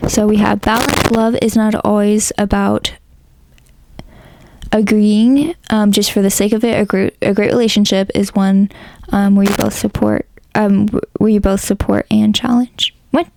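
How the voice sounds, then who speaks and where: A woman with a hoarse, crackly voice narrating a pick-a-card tarot reading in a casual, rambling way, with frequent ums and likes. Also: mid-range voice, fairly even intonation, moderate pace, a little mellow. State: hoarse, losing her voice. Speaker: tarot reader.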